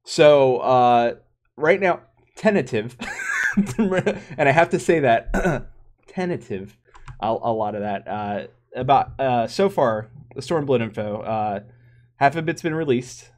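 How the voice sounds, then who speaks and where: Male podcast co-host, mid-pitched, casual chat, stop-start and rambling, laughs a lot. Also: animated, slow pace.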